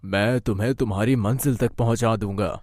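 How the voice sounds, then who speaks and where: A man voicing a mysterious, fatherly rickshaw driver in a cartoon, calm and reassuring. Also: fairly low pitch, brisk, no room sound, studio quality, natural voice. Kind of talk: Hindi moral-story cartoon dub.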